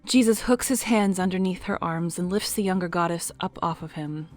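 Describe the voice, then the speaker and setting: Soft-spoken woman narrating a story. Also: lowish voice, moderate pace, soft background music. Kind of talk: scripted audio drama narration.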